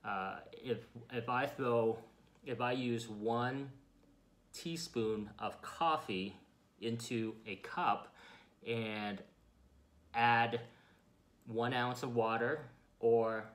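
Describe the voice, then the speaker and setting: A man's voice: medium pitch, drawn-out words, long pauses, a bit bright. A man in his forties, a doctor, patiently explaining a demonstration at his office desk with everyday comparisons. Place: office with framed pictures, indoors.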